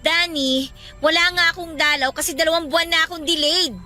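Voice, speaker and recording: A woman's voice: extremely high pitch, fairly even intonation, a little fast, bright, forceful tone, full character voice. A woman playing an anxious, irritable pregnant young girlfriend in a Filipino radio drama, with an emotional, scolding delivery. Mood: anxious, pressing. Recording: music bed behind the voice.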